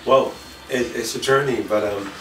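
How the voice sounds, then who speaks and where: A middle-aged man from Puerto Rico, raised in New York City, talking casually and a little haltingly as a fashion designer being interviewed. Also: medium pitch, fairly even intonation, recorded from a distance, noisy background. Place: designer's indoor studio with sewing machines. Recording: echoey room, off-mic, music behind.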